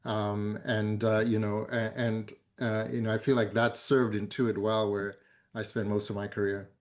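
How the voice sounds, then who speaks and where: A man in his fifties from Zambia who speaks as a guest in a conference fireside chat, measured and full of hesitant fillers. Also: lowish voice, level tone. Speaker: board member, guest speaker.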